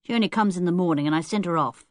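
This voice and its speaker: A woman's voice: on the low side, rapid-fire, no room sound, studio quality, lightly acted. A woman voicing a defiant, scowling ten-year-old boy who talks rudely and scornfully. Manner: rude, scornful, bossy.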